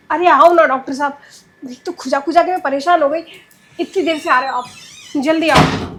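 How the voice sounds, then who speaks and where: A woman plays a naive young woman plagued by an itch, whining and pleading. Her voice sits high. Faint noise runs behind her. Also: lightly acted. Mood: impatient.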